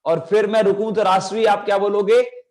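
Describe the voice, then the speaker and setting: A man's voice: sits high, steady tone, a little fast, darkish tone. A young man with a sore throat teaching an online children's class, coaxing the kids with lots of energy. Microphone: video call.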